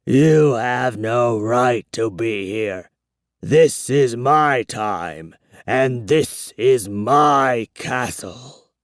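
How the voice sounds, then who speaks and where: Reader putting on an old vampire, super slow and murmury, creepy and tired, dry close mic. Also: dry recording. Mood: menacing. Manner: slow, low, murmuring and whispery. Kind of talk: audiobook character reading.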